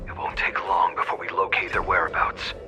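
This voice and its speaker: A man's voice: deep voice, level tone, brisk, pushed and bright, whispered, soft background music. A man voicing a dutiful military scout in a video game, giving a formal report to a superior. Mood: urgent, dutiful. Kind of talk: dramatic video game voice acting.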